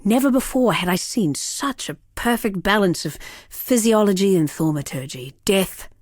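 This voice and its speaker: An actress playing a proud, sardonic villainess and world-weary killer in a video game, speaking in a theatrical, measured way. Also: female voice, medium pitch, lively intonation, darkish tone, no echo, clean studio sound, close to an everyday voice. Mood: brooding, sardonic. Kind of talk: dramatic scripted game dialogue.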